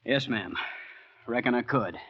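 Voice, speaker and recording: A man's voice: mid-range voice, measured pace, lightly acted. Polite Southern soldier, played by a male actor. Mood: polite, deferential. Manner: deferential, plain-spoken. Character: polite, weary Southern Confederate corporal. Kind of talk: 1940s radio acting. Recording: muffled old radio recording.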